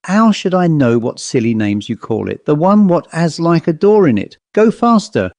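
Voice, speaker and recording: An indignant, bossy owl from an old storybook, acted out by a male reader, pitched on the high side. It speaks in a colloquial working-class English accent, dropping aitches and snapping out curt orders. The sound is close and dry. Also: big pitch swings, moderate pace, a little mellow, no echo. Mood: impatient, bossy. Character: angry, bossy, dishevelled wet old-fashioned talking owl. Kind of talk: storybook character voice.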